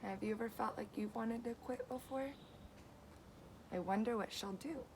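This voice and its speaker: Woman reading a storybook aloud, noisy recording. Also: on the high side. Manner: expressive, deliberate phrasing, pauses to question the young listeners. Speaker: teacher reading a picture book aloud to children. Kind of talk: children's storybook read-aloud.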